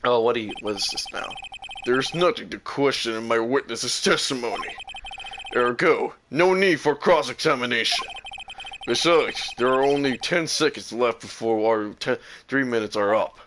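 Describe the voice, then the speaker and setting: A man's voice: medium pitch, moderate pace, fairly bright tone, a little hiss or hum, natural voice. A man voicing an arrogant, domineering prosecutor in a video game, stern and impatient. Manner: stern, imperious.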